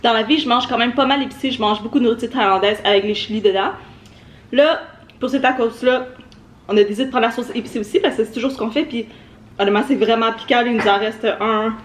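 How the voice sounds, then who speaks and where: A woman's voice: a little high, fast. A young woman with a Québécois French accent, chatting upbeat and directly to viewers in a casual travel vlog. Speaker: travel vlogger.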